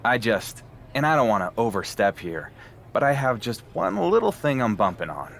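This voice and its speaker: A man's voice: medium pitch, big pitch swings, moderate pace, gravelly, a little hiss or hum. A man playing a wry, earnest underdog wrestler in a video game, talking in a casual, understated, dryly sarcastic way. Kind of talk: scripted video game cutscene acting.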